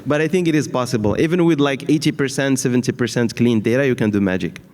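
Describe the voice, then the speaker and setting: Male panelist, low-to-middle everyday register, halting unscripted delivery, recorded in an echoey hall. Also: a little mellow. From Morocco and France. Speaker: panelist, data science leader in healthcare. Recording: echoey hall with long reverb.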